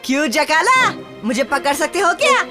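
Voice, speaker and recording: A woman voicing a confident, teasing human boy in an animated cartoon dub. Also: high voice, moderate pace, over loud music. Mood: cocky, teasing. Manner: teasing, cocky.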